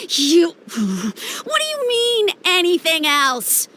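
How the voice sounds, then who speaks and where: A woman's voice: very high-pitched, huge pitch swings, extremely slow, bright, forceful tone, slight background noise, heavily acted. A woman playing a fretful, stubborn young doctor who scolds like an indignant little sister in a video game cutscene. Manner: indignant, emphatic, pleading.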